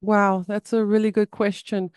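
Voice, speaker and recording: A woman's voice: mid-range voice, level tone, normal speed, darkish tone, clean tone, no echo, studio quality. A woman with a South African accent, a therapist speaking earnestly in an interview, often restarting and repeating words. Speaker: guest, somatic therapist. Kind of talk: conversational interview.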